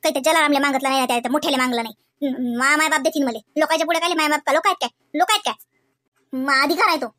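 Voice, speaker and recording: Lady doing stubborn cartoon village wife, varhadi marathi accent, super high sharp voice, argues nonstop, fast. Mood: indignant. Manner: insistent, repetitive arguing. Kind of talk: amateur comedy cartoon dialogue.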